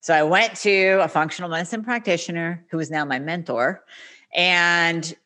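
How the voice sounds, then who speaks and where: A woman doctor, a podcast guest, telling her own story in an animated way and acting out the lines of others. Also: lowish voice, moderate pace. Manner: animated, acts out quoted dialogue. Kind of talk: storytelling interview.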